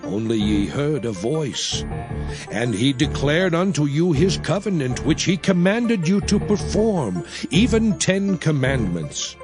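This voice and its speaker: Slow, solemn commanding biblical prophet. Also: male voice, on the high side, big pitch swings. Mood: grave, commanding. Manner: solemn, oratorical, measured, emphatic. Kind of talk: dramatized scripture reading. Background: music.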